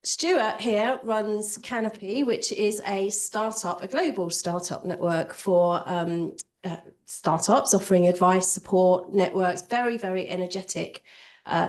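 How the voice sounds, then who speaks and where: A woman with a cold and a slightly croaky voice moderates a fireside chat. She sounds friendly and rambles a little. She is heard from some distance. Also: mid-range voice. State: has a cold, a bit croaky. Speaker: interviewer and event moderator.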